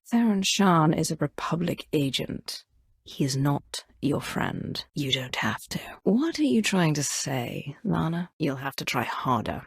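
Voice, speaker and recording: A woman playing an imperious, menacing Sith inquisitor in a video game, commanding and haughty, with deliberate dramatic delivery. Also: low-pitched, vocal fry, slightly rough, dry recording, clean studio sound, exaggerated voice. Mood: cold, dismissive. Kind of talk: video game dialogue acting.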